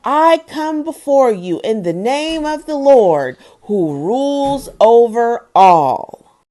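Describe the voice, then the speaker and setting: A woman's voice: a little high, very animated, slow pace, warm tone. A woman voicing a brave, faithful young boy hero in a children's storybook, declaring himself boldly. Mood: fearless, faithful. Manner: bold, declaring, unafraid.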